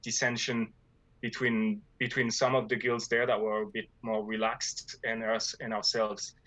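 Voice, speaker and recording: A man's voice: on the low side, flat delivery, unhurried, bright, forceful tone, dry recording, rough recording. A man from France with a French accent, talking about his gaming guild in a casual interview, hesitant with many fillers and restarts. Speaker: guild leader.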